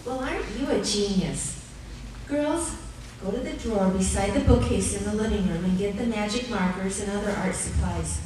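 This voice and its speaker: A woman playing a kindly, encouraging mother with a measured, gracious manner in a live radio play. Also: medium pitch, close to an everyday voice. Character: kindly, encouraging mother, also narrating memories. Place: live stage in arts center. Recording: echoey hall, distant mic, noisy.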